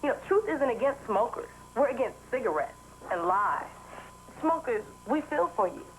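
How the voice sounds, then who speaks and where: A woman's voice: on the high side, sing-song, moderate pace, slight background noise, rough recording. A woman speaking as a spokesperson in a campaign ad, addressing the public. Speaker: anti-tobacco campaign spokesperson. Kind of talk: ad spot address.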